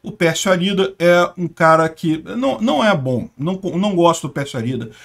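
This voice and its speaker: High-voiced sarcastic Brazilian commentator man. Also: gravelly. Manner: sarcastic, emphatic, scornful asides. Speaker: political commentary host. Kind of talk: casual political commentary over screen share.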